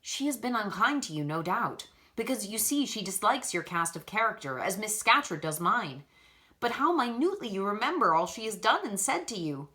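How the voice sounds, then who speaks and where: A gentle, pious schoolgirl speaks in a soft, measured, earnest way, voiced by a woman reading a storybook. Her tone is bright and clear, and she stays calm throughout. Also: medium pitch. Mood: earnest, serene.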